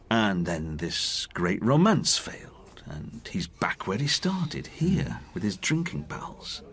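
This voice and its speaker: Deep-voiced, plain-spoken haunted friend. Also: male voice, a bit bright. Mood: sombre, regretful. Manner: halting, plain-spoken, reflective. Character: candid, haunted working man recalling his dead friend's decline. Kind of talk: radio drama dialogue.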